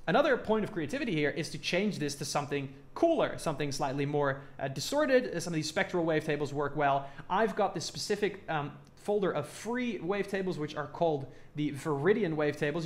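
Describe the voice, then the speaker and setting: A man talking casually and informally as he explains a music production tutorial step by step. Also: a little high. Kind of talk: casual hands-on tutorial talk.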